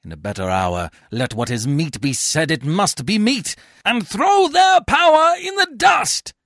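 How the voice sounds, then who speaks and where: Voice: medium pitch, huge pitch swings, extremely slow, fairly bright tone, husky, no room sound, studio quality, full character voice. A reader voicing a defiant, proud Roman warrior in a theatrical Shakespeare read-aloud, scornful and declamatory.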